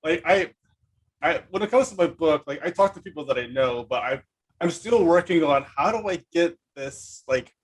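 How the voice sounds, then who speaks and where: Higher-voiced, husky man hosting casually. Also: animated, normal speed. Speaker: podcast host. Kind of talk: casual interview chat.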